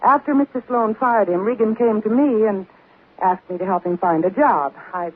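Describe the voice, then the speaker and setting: A woman's voice: medium pitch, moderate pace, husky, close to an everyday voice. An actress playing a scheming, cold-blooded woman in 1940s radio drama, on a muffled old recording. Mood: feigned reluctance.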